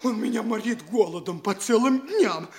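An actor playing an indignant, cowardly, blustering young petty official in a theatrical comedy radio play, stammering and exclaiming petulantly. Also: male voice, high voice, expressive, normal speed. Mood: defensive, complaining.

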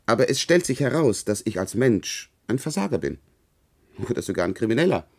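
A man's voice: mid-range voice, very animated, brisk. A male actor playing a hesitant, doubting man in a German radio play, speaking in a polite, questioning way. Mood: probing, sceptical. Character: hesitant, doubting man recalling his youth as research subject.

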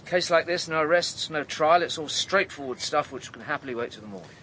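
An actor playing a middle-aged, avuncular police inspector in a radio drama, brisk, kindly and matter-of-fact. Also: male voice, fairly high pitch, expressive, bright, forceful tone, slight background noise.

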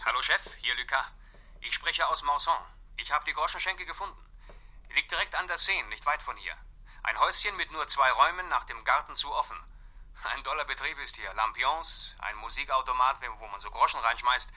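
An actor playing a brisk, keen police inspector in a 1960s radio play, reporting in clipped, eager phrases. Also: male voice, mid-range voice, bright, forceful tone. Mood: keen, urgent.

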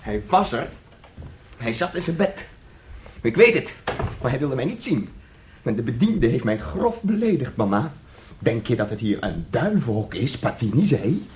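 Actor as an excitable childlike son, wildly swooping voice. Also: male voice, mid-range voice, moderate pace, reverberant, a little hiss or hum, natural voice. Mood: excited, proud. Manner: pleading, emotional, swings from glee to anguish. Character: excitable, childlike, volatile son clinging to his mama. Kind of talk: radio drama acting.

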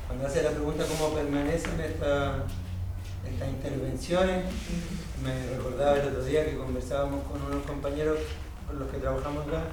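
A man who works in community theatre, speaking in a measured, reflective way at a panel. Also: medium pitch, fairly even intonation. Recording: echoey hall, distant mic, background noise.

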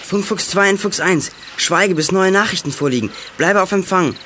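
High-voiced, brisk boy detective. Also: bright, forceful tone, slight background noise. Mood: businesslike. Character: resourceful teenage boy detective on walkie-talkie duty. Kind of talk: German children's audio drama acting.

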